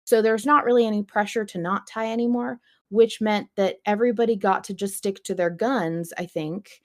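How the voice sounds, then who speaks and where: A woman's voice: medium pitch, steady tone, normal speed, darkish tone. A woman hosting a podcast, talking casually in a chatty, explanatory way with some emphasis. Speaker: podcast host.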